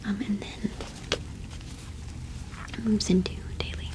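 A young woman speaking in a soft, breathy whisper close to the microphone, in a gentle, halting ASMR roleplay as a cottage witch shopkeeper. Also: medium pitch, flat delivery, poor audio. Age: young adult.

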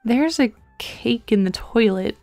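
Woman voicing a teenage girl, comic dub, high pitch, dry, deadpan, formal, measured. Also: faint music behind. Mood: dry, needling. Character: dry, precocious, articulate teenage girl chatting online.

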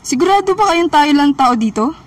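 A woman's voice: high voice, animated, brisk, very noisy. A young woman playing an anxious, pleading schoolgirl in a student radio drama, hesitant in how she speaks. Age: young adult. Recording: distant microphone, loud music bed.

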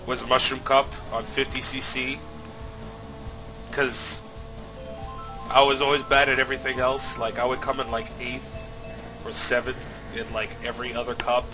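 A man commentating his own racing game playthrough, slightly sick and nasal, swearing often with sudden loud outbursts. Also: mid-range voice, fairly even intonation, unhurried, pauses often, music in the background, rough recording. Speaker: let's play host. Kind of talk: casual gameplay commentary.

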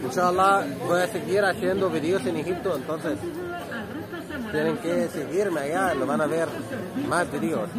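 A man's voice: on the high side, unhurried. Egyptian man vlogging through a noisy market. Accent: Egyptian Arabic. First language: Arabic. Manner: relaxed, chatty, loose non-native Spanish with frequent restarts. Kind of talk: walking vlog to camera. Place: busy outdoor street market. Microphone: handheld phone at arm's length. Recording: loud street crowd and music behind. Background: market crowd, traffic, music.

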